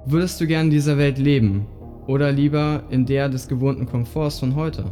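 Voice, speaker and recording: A man's voice: medium pitch, moderate pace, mellow. A man narrating a scripted audio play in short, direct appeals to the listener. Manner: short imperative phrases inviting listeners to imagine. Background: music.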